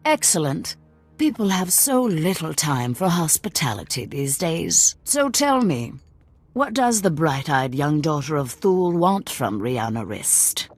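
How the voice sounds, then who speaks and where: A proud, world-weary assassin lady of middle age from a space fantasy game. Her voice is low and raspy with a sardonic edge, over soft music. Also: big pitch swings, a little slow, exaggerated voice. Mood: sardonic, imperious. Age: middle-aged. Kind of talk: video game voice acting.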